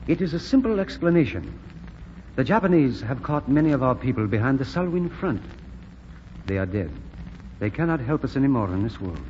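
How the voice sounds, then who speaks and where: An actor playing an air force lieutenant, speaking courteous English in a 1940s radio drama style. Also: male voice, mid-range voice, sing-song, fairly quick, a little mellow, close to an everyday voice. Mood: earnest, formal. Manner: formal, precise, courteous English. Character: earnest Chinese air force lieutenant, clowning as a spy. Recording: muffled noisy old radio recording, reverberant.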